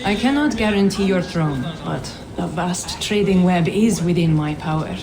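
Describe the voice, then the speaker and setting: An actress playing a self-assured, wry trade master in a video game, with a dry, assured delivery. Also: female voice, fairly low pitch, normal speed, vocal fry, background noise. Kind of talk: video game cinematic acting.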